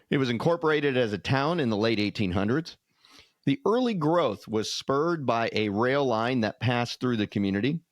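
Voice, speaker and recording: A man chatting as a podcast co-host in a folksy, storytelling way. His voice sits at a middling pitch. The sound is close and dry, with hardly any room on it. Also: dry recording. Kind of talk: casual podcast chat.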